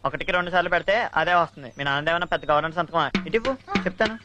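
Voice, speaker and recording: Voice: mid-range voice, racing, pushed and bright, lightly acted. A performer playing a bold, cheeky and protective schoolboy in film dialogue, talking in a confident, casual way. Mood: breezy confidence.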